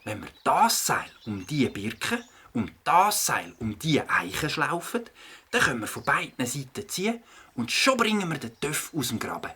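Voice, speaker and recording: A husky yet sharp voice of a man as an optimistic young boy with a Swiss German accent in a kids' audio play. The pitch moves around a lot as the boy offers ideas. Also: big pitch swings, unhurried, full character voice. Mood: resourceful. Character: helpful, inventive, optimistic young boy, beaver's friend. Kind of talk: children's audio play acting.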